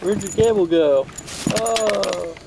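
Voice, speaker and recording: A man's voice: high-pitched, slow pace, creaky. A man speaks casually as a helper on a hobby project. The amateur recording carries faint noise from in and around a car. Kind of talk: casual chat.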